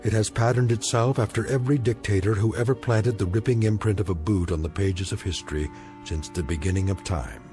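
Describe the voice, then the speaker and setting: An actor as a grave, solemn narrator of eerie anthology drama, speaking deliberately and portentously. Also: male voice, on the low side, darkish tone, faint music behind, natural voice. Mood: grave, ominous. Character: grave, ominous male narrator of eerie tales.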